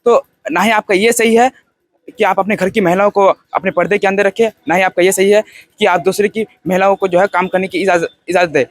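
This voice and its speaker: Young guy, pretty high voice, dry sound, giving his take on a film, earnest n repeats himself. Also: fairly even intonation, a little fast, no echo. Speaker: film festival participant. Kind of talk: informal spoken film review.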